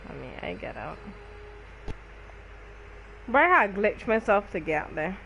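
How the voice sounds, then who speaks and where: A woman's voice: medium pitch, very animated, unhurried, vocal fry, fairly bright tone, a little hiss or hum, low-quality recording. A woman chatting casually and in slang with co-op partners while playing a game, often repeating phrases. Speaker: gaming video creator. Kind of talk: casual gameplay chat with co-op partners.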